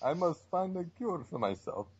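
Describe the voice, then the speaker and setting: A man voicing a melodramatic, self-pitying cartoon villain with theatrical, drawn-out lines, in an amateur parody reading over a noisy, muffled internet call. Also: on the high side, big pitch swings, darkish tone, husky, dry recording, exaggerated voice. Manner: theatrical, drawn-out counting and lamenting.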